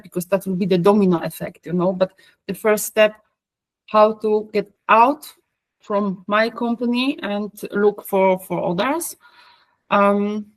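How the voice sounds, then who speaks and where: A woman with a Polish accent, speaking English as a third language in a coaching group call, pausing often with fillers and restarts. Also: mid-range voice, dry recording. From Poland. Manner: hesitant, many fillers and restarts. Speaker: coaching course participant. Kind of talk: group video call discussion.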